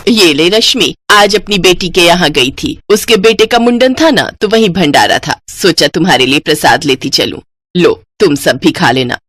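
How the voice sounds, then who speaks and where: A woman's voice: fairly low pitch, expressive, moderate pace, fairly bright tone, no room sound. A woman voicing a friendly, generous older neighbourhood auntie with a chatty manner in a family story. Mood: friendly chatting.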